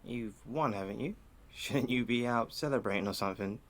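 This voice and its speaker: An actor playing a casual, caring English teenage son in a naturalistic audio drama. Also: male voice, mid-range voice, fairly quick, natural voice. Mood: probing, teasing. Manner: casual, teasing, animated.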